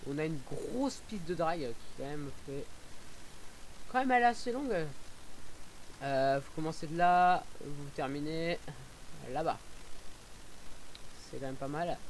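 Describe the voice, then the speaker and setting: A young man talks through a driving game in a loose, rambling way, with lots of fillers and restarts. His voice sits a little high. Faint hiss and engine sounds from the game run underneath. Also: measured pace, long pauses, poor audio. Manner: excitable whoops while driving. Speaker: gaming YouTuber. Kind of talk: casual gameplay commentary.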